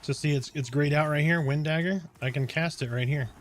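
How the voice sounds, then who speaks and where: Calm-voiced man streaming a game. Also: a little high, brisk. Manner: calm, soothing voice; explains step by step in a relaxed, rambling way. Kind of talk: casual live-stream chat.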